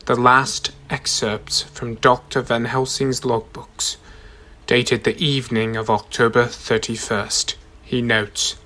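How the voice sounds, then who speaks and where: A solemn advocate addressing a court acted by a man. The voice is mid-pitched, bright and forceful, with formal radio drama delivery. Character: formal courtroom advocate presenting evidence to a jury.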